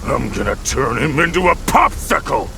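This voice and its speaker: A man playing an angry, impatient villain boss barking orders in an animated game. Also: mid-range voice, moderate pace, vocal fry, slight background noise. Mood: furious.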